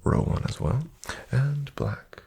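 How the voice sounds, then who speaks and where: A man playing a courteous, formal casino dealer in a soft-spoken ASMR roleplay, hushed, polite and measured. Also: medium pitch, full character voice. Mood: courteous, calm. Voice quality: soft, hushed, breathy-edged delivery. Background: card shuffling and clicking.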